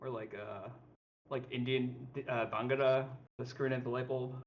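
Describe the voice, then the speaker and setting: Man, mid-pitched, podcast guest, casual joking talk, dull voice-chat audio. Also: steady tone, normal speed.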